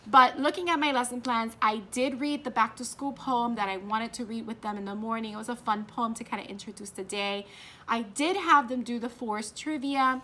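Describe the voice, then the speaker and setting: An excitable young woman talks to a propped-up camera in her classroom, chatting about her school day. Her voice sits a little on the high side, and her manner is upbeat and chatty. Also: a little hiss or hum. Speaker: fourth grade teacher and vlogger. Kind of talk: casual vlog chat. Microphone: camera propped nearby.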